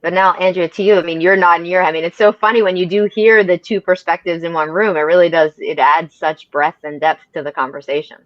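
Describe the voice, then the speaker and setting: A woman's voice: lowish voice, fairly even intonation, fairly quick, few pauses, dry recording, dull audio. A woman hosting a podcast conversation, asking questions and responding in a conversational way. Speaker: podcast host.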